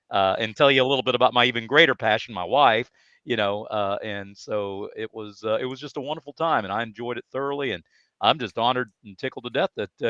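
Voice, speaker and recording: A man's voice: medium pitch, a bit bright, clear, resonant tone, no echo. An American man chatting as a podcast guest, telling stories in an enthusiastic, effusive and polite way. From United States. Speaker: podcast guest, data speaker. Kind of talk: casual conversational storytelling. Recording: dry, slightly dull audio.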